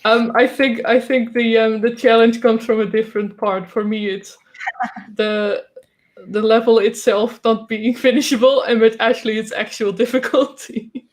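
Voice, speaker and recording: A woman's voice: on the high side, flat delivery, unhurried. A woman from the Netherlands, a native Dutch speaker, chatting hesitantly and playfully while playing her game. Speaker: guest game developer. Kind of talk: casual chat while playing.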